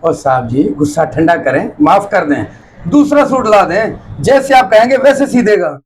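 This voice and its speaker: Tailor shop boss, male actor, strikingly high voice, stern and brusque, moral drama. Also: sing-song, moderate pace, slightly rough, full character voice. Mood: placating. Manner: brusque with underlings. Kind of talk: moral story drama acting.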